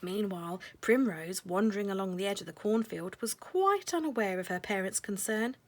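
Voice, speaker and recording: A woman narrating a children's storybook in a measured, expressive way, letting phrases end softly. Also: medium pitch.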